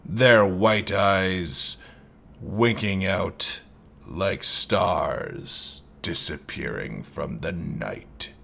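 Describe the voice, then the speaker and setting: Deep gravelly guy reading creepy horror story super slow, bright edge, faint hiss behind. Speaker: narrator. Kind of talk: scripted horror narration.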